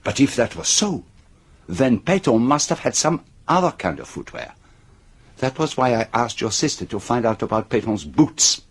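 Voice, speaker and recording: An actor playing a shrewd, methodical detective in a radio drama, speaking deliberately and theatrically as he builds his case point by point. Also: medium pitch, very animated, slightly rough. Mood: methodical, probing. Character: shrewd, methodical male detective unmasking a killer.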